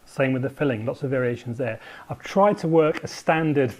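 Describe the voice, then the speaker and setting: Male home baker, mid pitch, chatty and meandering, casual to-camera recipe talk. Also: brisk, a little mellow. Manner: rambling, thinks aloud with frequent ums.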